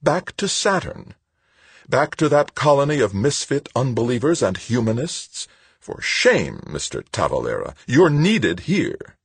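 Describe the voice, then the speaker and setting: A man's voice: fairly high pitch, huge pitch swings, normal speed, few pauses, darkish tone, breathy edge, no echo, voice pushed up, heavily acted. A man voicing a falsely cheerful, pious middle-aged woman bureaucrat in an audiobook, with a condescending, sing-song schoolteacher manner. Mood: hardened scolding. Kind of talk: audiobook character dialogue.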